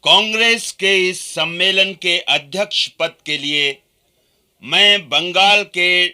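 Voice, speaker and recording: An actor playing an earnest, dignified British reformer addressing an assembly in a formal, deliberate radio-play manner. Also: male voice, high-pitched, pushed and bright, clear, resonant tone, full character voice. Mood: formal, proud. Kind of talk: Hindi radio play oratory.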